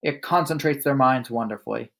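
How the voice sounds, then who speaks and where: A man voicing a loud, bullying female headmistress who barks and sneers. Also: mid-range voice, brisk, gravelly. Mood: smug lecturing. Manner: barking, scornful.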